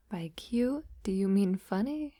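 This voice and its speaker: A shy young woman, played by a voice actress, speaks slowly and gently, with a soft, breathy tone that often slips toward a whisper. The sound is mellow, close and dry, like an intimate audio roleplay. She is affectionate and a little halting, as a best friend turning sweetheart. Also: mid-range voice, animated, no room sound. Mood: flustered, bashful. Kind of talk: intimate roleplay ASMR, soft-spoken acting.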